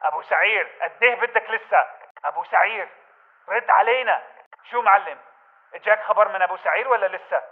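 A man's voice: high voice, fast talker. A man playing a jittery militant sentry with a Levantine Arabic accent, heard through a radio filter in a video game. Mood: worried. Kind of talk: video game combat chatter. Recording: tinny narrow radio transmission.

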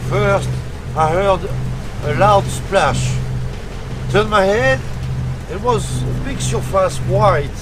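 An older man on a sailboat deck, haltingly searching for words in casual chat, filmed on an action camera. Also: high-pitched, over music. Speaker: sailing vlog co-host. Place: outdoors on a sailboat deck at sea. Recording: loud wind and water noise. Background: wind and boat noise.

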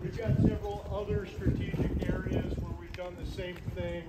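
A middle-aged man giving a guided walking tour, explaining things in a steady, informative way with friendly asides. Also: high voice, moderate pace. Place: outdoors at a demolished factory site. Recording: loud outdoor background noise.

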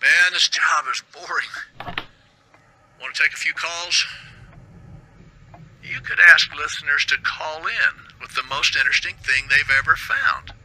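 A man's voice: fairly high pitch, measured pace, long pauses, sharp tone, poor audio. An actor playing a sarcastic, condescending radio station boss in a video game, belittling as he rambles through instructions.

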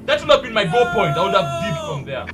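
Slangy young man, high swooping voice. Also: sing-song, fast. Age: young adult. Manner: slangy, exclamatory. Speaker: reaction video host. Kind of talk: casual reaction commentary. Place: small home bedroom setup. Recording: off-mic, music from played video behind. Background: music and talk from video being watched.

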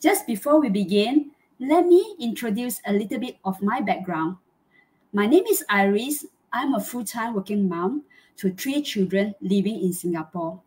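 A Malaysian woman hosts an online live chat. Her mid-pitched voice comes over a video call from a room at home. She talks in an effusive, chatty way to her audience and guest. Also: expressive, normal speed, a little mellow, rough recording. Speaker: host. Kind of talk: live chat interview hosting. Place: small home room, indoors.

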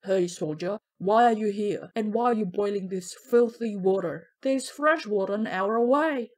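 A woman's voice: mid-range voice, normal speed, darkish tone, no echo, natural voice. A woman voicing a tall, predatory militiaman in an audiobook, brusque and challenging. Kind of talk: audiobook character voice.

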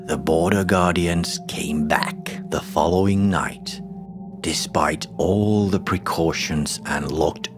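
A man tells a scripted horror folktale in an extremely low voice. His delivery is slow and dramatic, with music playing behind him. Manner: dramatic, deliberate storytelling. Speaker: storyteller.

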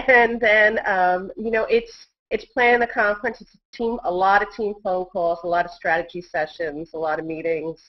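A woman's voice: medium pitch, fairly even intonation, measured pace. A middle-aged woman chatting casually as an interview guest over a video call. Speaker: interview guest, community director. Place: indoor room at home. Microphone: earbud headset on video call. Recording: dull, lo-fi video call audio.

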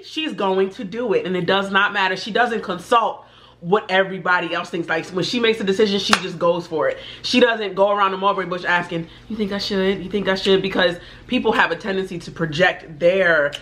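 A woman's voice: mid-range voice, brisk, fairly bright tone. A woman in her thirties, a guest on an eating show, talking openly and playfully while she eats. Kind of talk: casual heartfelt chat. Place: indoor studio set at a table.

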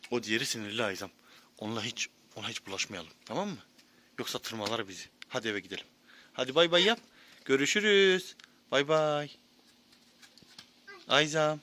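A man's voice: on the high side, bright, forceful tone. A man speaks to his young child in casual, coaxing bursts, often repeating a short prompt. It sounds like an amateur outdoor video from a handheld phone, with faint noise behind the voice. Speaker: parent filming a young child. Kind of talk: casual child-directed chat. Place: outdoors near animals.

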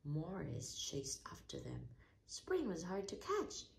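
A woman reading a children's story aloud with expressive, deliberate narration, over faint handling noise. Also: lowish voice, gravelly. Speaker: storybook reader.

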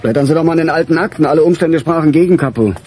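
An actor playing a seasoned, skeptical chief inspector in a German radio crime play, speaking bluntly and drily. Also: male voice, a little high, rapid-fire, darkish tone, a little hiss or hum, lightly acted. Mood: skeptical, weary.

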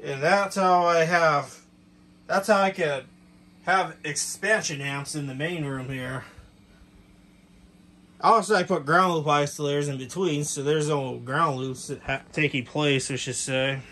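A man giving a casual hands-on demonstration as a hobbyist, explaining in a halting way with self-corrections. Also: on the high side, bright, forceful tone. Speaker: hobbyist presenter. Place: cluttered bedroom, indoors. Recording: clear background noise, room ambience.

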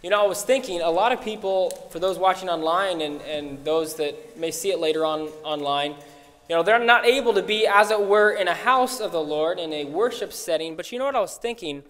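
A young man speaking at a church pulpit, praying and sharing a short devotional in an earnest, halting way. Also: high-pitched, a bit bright. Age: young adult. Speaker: worship leader. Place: church sanctuary, at a wooden pulpit. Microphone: pulpit mic. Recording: long reverb of a church hall.

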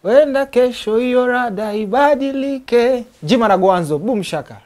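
A young man with a Kenyan accent, an event MC, telling stories as a guest in a lively mix of Swahili and English, recorded on a lapel mic in a studio. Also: extremely high pitch, normal speed. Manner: lively, mixes Swahili and English, acts out quoted voices. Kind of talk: animated storytelling in interview. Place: indoor studio set, armchairs.

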